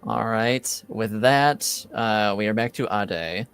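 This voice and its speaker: A man's voice: lowish voice, takes it slowly, fairly bright tone, smooth, faint music behind. A man running a tabletop game as game master, laid-back, laughing often and swearing casually.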